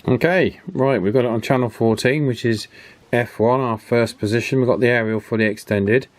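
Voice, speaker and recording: A man giving a casual hands-on repair demonstration as host, unhurried and thinking aloud through each step. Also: medium pitch, fairly even intonation, no echo. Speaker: host of a radio repair channel.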